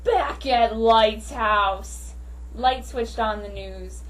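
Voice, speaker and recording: A woman's voice: fairly high pitch, extremely slow. A woman giving an amateur dramatic reading of a story in a theatrical, mock-serious manner. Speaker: amateur reader. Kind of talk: dramatic reading of fan fiction. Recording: noisy, distant home microphone.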